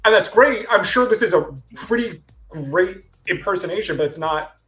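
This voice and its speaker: A man co-hosting a casual review chat, giving measured, thoughtful opinions. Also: high voice, lively intonation, a bit bright, soft background music. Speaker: podcast co-host.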